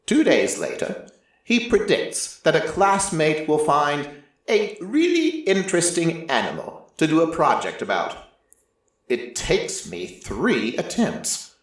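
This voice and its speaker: A man voicing an ancient, solemn god of prophecy in a dramatic first-person story, with deliberate pauses. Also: on the high side, wildly swooping pitch, full character voice. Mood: dry, patient. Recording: heavily echoing, distant-sounding voice.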